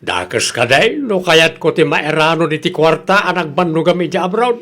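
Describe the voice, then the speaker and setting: A man's voice: a little high, very animated, moderate pace, a bit bright. A man playing a stubborn, defiant father with an Ilocano accent. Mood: arguing. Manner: defiant, argumentative. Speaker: father in a family quarrel. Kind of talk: radio drama acting.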